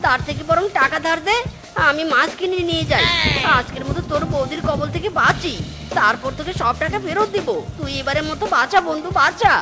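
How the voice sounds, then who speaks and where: A woman acts a lazy, henpecked cartoon husband in an extremely high voice. He whines and pleads with comic melodrama. Music is clearly heard behind. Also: fairly quick, lightly acted. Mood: pleading, whining. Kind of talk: exaggerated comic cartoon dubbing.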